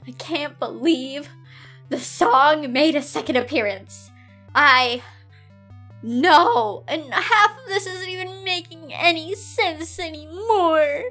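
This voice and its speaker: Dramatic exasperated lady reading fanfic out loud, really high bright voice, bit of music behind. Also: slow pace. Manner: theatrical, exasperated, expressive. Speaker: host of a comedic fan fiction reading show. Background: background music.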